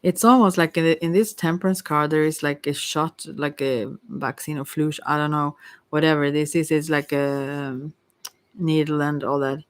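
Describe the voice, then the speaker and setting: A tarot reader giving an informal ASMR reading in a hesitant, rambling way with many pauses. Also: medium pitch, steady tone, clean tone, no room sound. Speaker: Ayurveda therapist.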